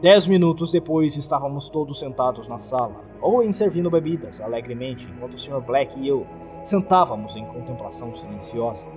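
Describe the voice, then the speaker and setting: A man's voice: medium pitch, animated, brisk, few pauses, darkish tone, soft background music. A man with a Brazilian accent narrating a scripted horror story.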